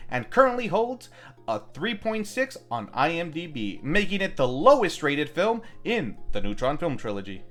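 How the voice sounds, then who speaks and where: A man's voice: fairly high pitch, fairly bright tone. A man hosting a film review, reading scripted narration with playful, wry asides. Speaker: host of a film review show.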